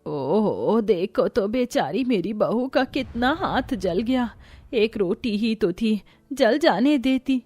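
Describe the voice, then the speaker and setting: A woman's voice: on the high side, lively intonation, slow, a little mellow, husky, no echo, faint music behind, exaggerated voice. A woman voicing a caring, fretting old mother-in-law in a cartoon tale. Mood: concerned.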